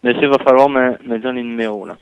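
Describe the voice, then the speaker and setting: A man's voice: mid-range voice, steady tone, fast. Quebec French man on a noisy phone line. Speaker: hotel reservation clerk. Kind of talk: customer service phone call. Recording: phone line with clear noise and clicks.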